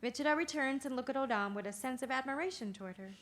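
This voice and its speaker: A woman's voice: sits high, fast, a bit bright. A woman reading stage directions as narrator at a play's table read, in scripted narration.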